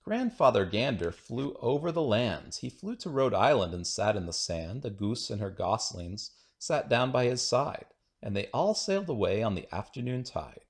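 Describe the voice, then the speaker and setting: A man's voice: mid-range voice, big pitch swings, moderate pace, few pauses. A man reading children's rhyming poems aloud in a playful, singsong, rhythmic way. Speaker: children's book reader.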